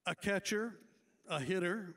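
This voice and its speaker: A man reading prepared tributes as an announcer at a hall of fame banquet, in a measured, deliberate way with small playful asides. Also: high-pitched, fairly bright tone. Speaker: awards ceremony announcer.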